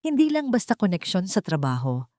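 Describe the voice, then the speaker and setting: A woman voicing a serious male investigator in an audio drama, speaking deliberately and directly. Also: medium pitch, very animated, a little mellow, dry recording, studio quality, close to an everyday voice. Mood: grave, direct.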